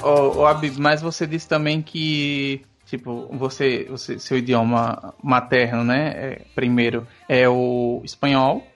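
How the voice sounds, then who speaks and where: Male interviewer, mid-pitched, loose rambling questions, faint music behind. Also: little pitch movement, a little slow, hardly any pauses, a bit bright. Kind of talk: casual interview.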